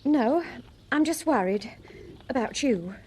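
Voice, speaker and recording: A woman's voice: sits high, expressive, takes it slowly, darkish tone, slight background noise. An actress in a radio drama playing an overfamiliar, nervy former showgirl-type hostess who talks in chummy endearments. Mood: uneasy. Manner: chummy, uses pet endearments.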